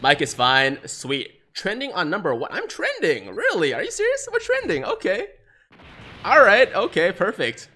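A young man from China, a native Mandarin speaker, excitedly streaming live gaming commentary on a headset and reading chat aloud. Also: high voice, normal speed. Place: small room, seated in gaming chair.

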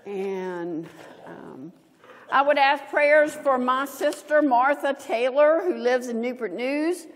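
Older woman, pastor, very slow measured pace, solemn liturgical reading, reverberant church sanctuary. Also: high-pitched, lively intonation, a little hiss or hum. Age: older, 70s. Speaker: pastor leading worship. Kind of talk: read pastoral prayer and liturgy. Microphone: gooseneck lectern microphone and clip-on.

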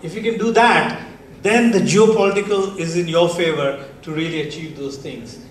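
A man with an Indian English accent on a panel, speaking in a measured, emphatic way and slipping in Hindi phrases. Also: on the high side. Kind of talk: panel discussion. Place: large conference hall stage, seated panel. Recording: echoey hall, distant mic, faint noise.

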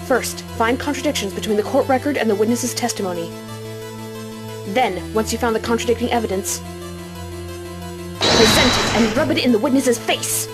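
A woman's voice: a little high, moderate pace, pauses often, loud music behind the voice, close to an everyday voice. A woman playing a confident mentor lawyer coaching a rookie in an anime-style game fan dub. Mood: encouraging, firm.

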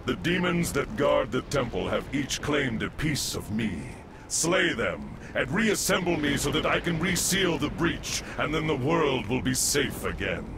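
Ancient guardian priest, grave formal oratory, gravelly voice, game cutscene, rumbling noisy backdrop. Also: male voice, big pitch swings, unhurried, exaggerated voice. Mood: commanding. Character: ancient priest spirit, solemn guardian of a sealed evil. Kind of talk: video game cutscene acting.

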